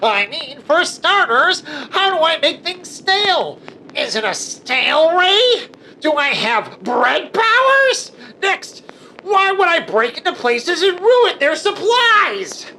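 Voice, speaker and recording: A woman's voice: very high voice, very animated, slow pace, sharp tone, slight background noise, full character voice, low-quality recording. A woman voicing a gleeful, unhinged female supervillain who mocks the story she is in, cackling and cursing. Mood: sarcastic. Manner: mocking, cackling, profane. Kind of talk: comedic audio drama acting.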